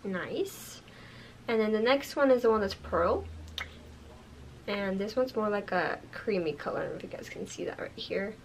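A young woman in her twenties, a bit hoarse from losing her voice, chatting casually as she unboxes and reviews makeup at home, filling her talk with "like" and "you guys". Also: mid-range voice, expressive, normal speed, slight background noise, low-quality recording. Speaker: beauty vlogger. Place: bedroom with makeup shelves, indoors.

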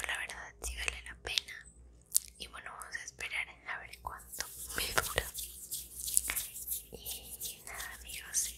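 A woman in her twenties with a Mexican accent speaks in a soft, breathy, intimate whisper, chatting casually in ASMR style close to the microphone. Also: very deep voice. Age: 20s. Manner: soft-spoken, intimate, unhurried. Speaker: ASMR video creator. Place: small indoor room at home.

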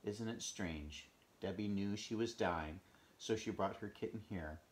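A man voicing a kindly, tender woman who dotes on her pets, in a gentle storybook reading. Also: fairly low pitch, measured pace, a little hiss or hum, close to an everyday voice. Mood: sorrowful, tender. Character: kindly woman pet owner, tender and cheerful.

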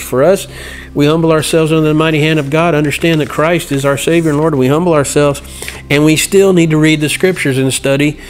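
A middle-aged man teaching from scripture in an earnest, halting way, reading passages and explaining them. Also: a little high. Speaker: Bible teacher. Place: indoor room by a large window. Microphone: lapel mic.

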